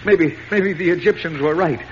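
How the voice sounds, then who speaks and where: An actor playing a rattled, fretful newspaper editor who stammers frantically, in the style of a 1940s radio serial. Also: male voice, on the high side, moderate pace, lightly acted. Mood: frantic bewilderment. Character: panicky, rattled older male newspaper editor. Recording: muffled, noisy old radio recording.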